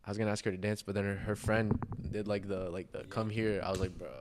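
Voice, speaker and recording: Young man, low voice, casual podcast chat, slangy bro-talk, loose storytelling. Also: flat delivery, fast, crowd noise. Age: young adult. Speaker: podcast co-host.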